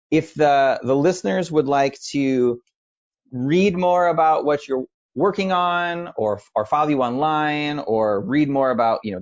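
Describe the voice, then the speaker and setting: A man hosting an interview, giving short affirmations and wrapping up with a long, friendly question. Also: medium pitch. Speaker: podcast host. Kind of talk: interview host. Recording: muffled lo-fi audio.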